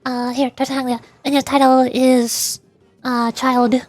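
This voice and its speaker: A woman with a high voice streams a game and talks casually. She rambles chattily through explanations, with faint music behind her. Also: level tone, a little slow, darkish tone. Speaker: streamer, virtual YouTuber. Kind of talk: casual game livestream chat.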